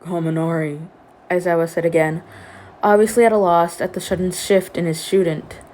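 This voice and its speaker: A woman reading fan fiction aloud in an expressive amateur storytelling style. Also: fairly low pitch, fairly quick, a little hiss or hum.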